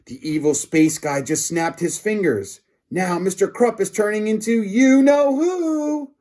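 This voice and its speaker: A man's voice: high voice, measured pace, dark tone, pitched above the performer's own voice. A man voicing an earnest young schoolboy hero in a comic storybook, with a dramatic delivery. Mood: alarmed.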